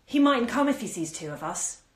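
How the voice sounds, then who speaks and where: Nervous young friend, hushed and timid, voiced by a woman reader. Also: on the high side, very animated, normal speed, echoey room.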